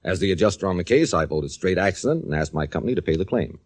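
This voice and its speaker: A man's voice: deep voice, animated, quick pace, a little mellow. An actor playing a middle-aged male insurance adjuster and pilot in a 1940s radio drama, easygoing, persuasive and patient. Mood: confiding.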